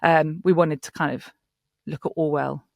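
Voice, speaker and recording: A man's voice: on the high side, fairly even intonation, moderate pace, creaky, no room sound, clean studio sound. A man talking enthusiastically as a producer in a panel interview. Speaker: audio drama producer.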